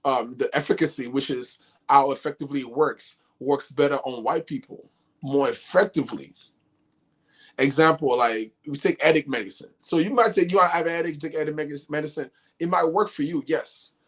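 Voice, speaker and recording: A middle-aged man from Nigeria, a company head answering interview questions in an earnest, explanatory way with many fillers and restarts. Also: on the high side. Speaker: company CEO, interview guest. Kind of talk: casual interview talk.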